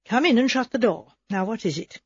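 A woman voicing an elderly lady who speaks in a measured, dry way. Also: fairly high pitch, lively intonation, husky, no echo, muffled recording.

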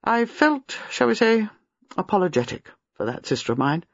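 A narrator voicing a reserved older doctor who speaks in measured, hesitant phrases. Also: mid-range voice, very animated, slightly rough, dry recording, voice pushed up. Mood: guarded. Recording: dull lo-fi dry recording.

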